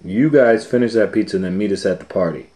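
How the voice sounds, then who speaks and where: A man's voice: on the low side, fast, dark tone, close to an everyday voice. A man voicing a friendly young cartoon woman from a storybook, coaxing and plain-spoken. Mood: instructing.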